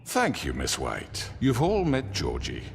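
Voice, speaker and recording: Deep, smooth, haughty crime boss. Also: male voice, wildly swooping pitch, a little hiss or hum, heavily acted. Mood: smooth, defensive. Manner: formal, measured, condescending, theatrical pauses. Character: crime boss on trial, smooth, haughty, self-justifying manipulator. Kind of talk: dramatic video game dialogue. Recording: long reverb hall, music behind.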